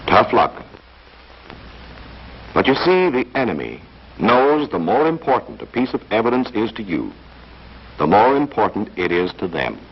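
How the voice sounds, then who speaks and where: Low-voiced hard-boiled 1940s film narrator, male. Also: big pitch swings, measured pace, long pauses, slightly rough. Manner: hard-boiled, addresses the character directly. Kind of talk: dramatic scripted narration, 1940s training film. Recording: muffled, faintly hissy 1940s film soundtrack.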